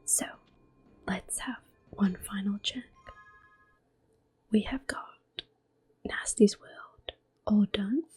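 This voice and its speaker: Female ASMR game host: breathy whisper, dark mellow tone, unhurried gentle explanations, music behind. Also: medium pitch. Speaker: ASMR gaming video host. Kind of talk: soft-spoken ASMR game commentary with whispering. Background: video game music.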